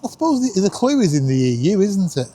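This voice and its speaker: A man with a high, lively voice hosts a casual vlog. His pitch swings about freely as he chats in a jokey, self-deprecating way, often tacking questions onto his sentences. There is some background noise around him. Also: fast talker. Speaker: vlog host. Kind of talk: casual, chatty video vlog.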